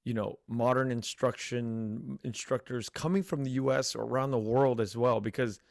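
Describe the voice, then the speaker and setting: An American man hosting a podcast, chatting in a relaxed, friendly way with lots of fillers and restarts. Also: mid-range voice, moderate pace, gravelly, no room sound, studio quality. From United States. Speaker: podcast host. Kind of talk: casual interview chat.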